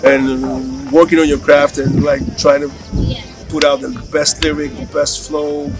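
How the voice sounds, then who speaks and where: A man's voice: a little high, little pitch movement, measured pace, low-quality recording. A middle-aged man from Greece with a Greek accent, a rapper talking casually and slangily in an interview. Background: music.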